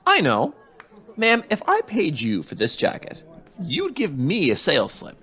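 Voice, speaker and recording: Hapless, earnest teen boy in vintage radio comedy; hesitant, eager, highly animated delivery. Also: measured pace, heavily acted. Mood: pleased with himself. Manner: exclamatory, hesitant, gee-whiz eagerness. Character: naive, flustered teenage boy, earnest and hapless. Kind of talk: 1940s radio sitcom acting.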